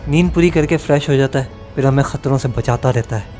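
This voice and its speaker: A man's voice: mid-range voice, brisk, a little mellow, soft background music, natural voice. A man playing an easygoing young student in an audio drama. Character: easygoing young male student who loves his sleep.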